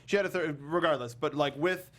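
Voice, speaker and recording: A man hosting a casual film discussion, talking in a halting way and often restarting or repeating his phrases. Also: a little high, a bit bright. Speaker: talk show host.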